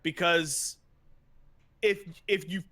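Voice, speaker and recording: A man's voice: a little high, steady tone, takes it slowly, a bit bright. A man co-hosting a podcast, chatting casually about video games in a blunt, profane, emphatic way. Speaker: podcast co-host.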